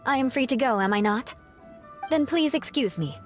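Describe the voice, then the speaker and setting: A woman's voice: high-pitched, lively intonation, moderate pace, faint music behind. Proud, aloof noblewoman knight. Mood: haughty, flustered. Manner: formal, haughty phrasing. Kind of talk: anime video game voice acting.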